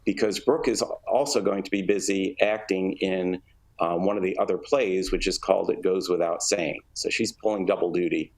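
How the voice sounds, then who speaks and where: A man giving conversational interview answers, explaining things in a measured, halting way. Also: sits low, steady tone, darkish tone. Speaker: interview guest, theatre artistic director.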